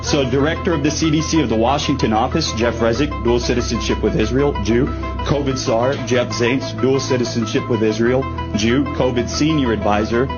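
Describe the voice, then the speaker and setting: A man speaking at a public meeting podium, reading a list in short, repetitive clipped phrases and breaking off now and then to address the chair politely. His voice sits at an ordinary pitch. The audio is dull and muffled, with loud music laid over it. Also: a little fast.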